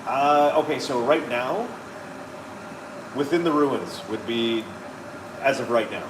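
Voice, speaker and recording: A man's voice: mid-range voice, slow pace, far from the mic, background noise. Joking man in his thirties, roomy echo. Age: 30s. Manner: playful, joking, reads viewer comments aloud. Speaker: co-host reading live chat. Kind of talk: casual live-stream chat.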